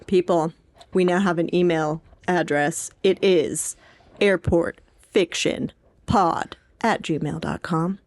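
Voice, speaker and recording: A young woman talks as a podcast co-host in a slightly lower-pitched voice. Her delivery is informal and jokey, peppered with slang, like a relaxed book chat. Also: slow. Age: young adult.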